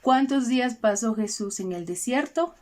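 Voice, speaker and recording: Gentle Peruvian woman hosting a faith program. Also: on the high side, animated. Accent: Peruvian Spanish. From Peru. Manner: gentle, addresses listeners directly, slows for emphasis. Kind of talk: reflective spiritual talk with readings.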